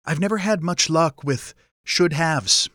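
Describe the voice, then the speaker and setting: A guarded adult son in an audiobook acted by a man, bitter and wounded underneath. He talks in terse, halting phrases. The sound is close and dry. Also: on the high side, a bit bright, no echo, pitched above the performer's own voice. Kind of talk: audiobook character dialogue.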